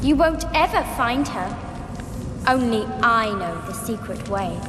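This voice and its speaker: Taunting vampire child, very high swooping voice, slow teasing delivery, video game, echoing hall. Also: female voice, expressive. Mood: taunting, coaxing. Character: eerie, playful child vampire girl, sly and taunting. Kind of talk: video game cutscene acting. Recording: echoey stone hall, noisy ambience behind.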